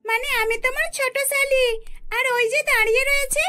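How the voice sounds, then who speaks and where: Woman acting a shrill, wheedling young cartoon sister. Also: fairly even intonation, fairly quick, sharp tone. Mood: playful, teasing. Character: scheming, pleading young twin sister in a cartoon.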